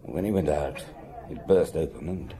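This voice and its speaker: Very deep, gravelly café owner. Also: male voice, normal speed, warm tone. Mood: earnest, confiding. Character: hesitant, gossipy French café owner. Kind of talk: radio drama acting.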